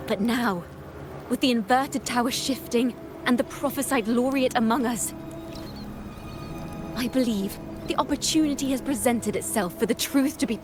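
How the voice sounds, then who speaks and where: A proud young female bard, voiced by an actress for a video game, with a fairly high voice. She is composed and defiant, with music clearly playing behind her. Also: moderate pace, close to an everyday voice. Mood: resolute. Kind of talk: dramatic video game voice acting.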